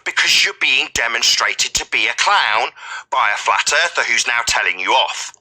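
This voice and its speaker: A man's voice: medium pitch, lively intonation, normal speed, bright, forceful tone, low-quality recording. A man berating an opponent in a heated debate, with a domineering, repetitive, scolding manner. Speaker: debate host.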